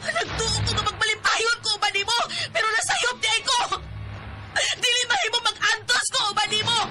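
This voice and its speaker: Anguished young wife, Cebuano Filipino accent, very high pitch, emotional radio drama delivery, music underneath. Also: female voice, normal speed. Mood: distraught. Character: anguished young wife and mother, pleading with abusive husband. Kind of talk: Philippine radio drama acting.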